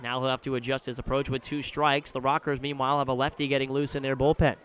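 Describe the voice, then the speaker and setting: A man doing live radio play-by-play commentary of a baseball game, describing the action in a measured way that rises when the play picks up. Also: medium pitch, little pitch movement, a bit bright, slight background noise. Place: live at an outdoor ballpark.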